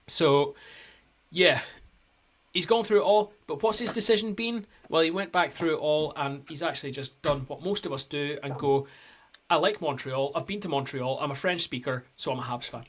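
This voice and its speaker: A man's voice: fairly high pitch, moderate pace, a bit bright, a little hiss or hum. A man co-hosting a hockey podcast, telling a story in a rambling, casual way with lots of ums and restarts. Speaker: podcast co-host. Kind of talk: casual chat.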